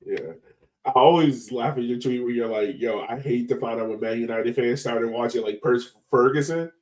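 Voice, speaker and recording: A man's voice: medium pitch, normal speed, rough recording. A man in his thirties with an American accent hosting a casual podcast chat in a rambling, jokey, slangy way. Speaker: podcast host, interviewer.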